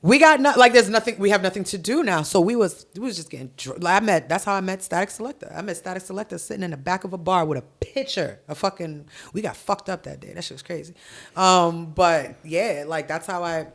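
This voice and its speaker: A young adult woman from Haiti, a guest on a podcast, telling stories in an animated, profane way over a headset mic in a dark studio. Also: lowish voice, a little fast. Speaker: guest, hip-hop artist. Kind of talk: casual podcast interview. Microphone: headset with boom mic.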